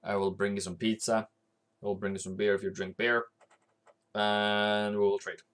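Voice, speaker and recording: A man's voice: on the low side, little pitch movement, normal speed, fairly bright tone. Chatty man streaming. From Norway. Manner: rambling, chatty, answers viewer comments aloud. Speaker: forex trading livestreamer. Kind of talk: casual livestream chat.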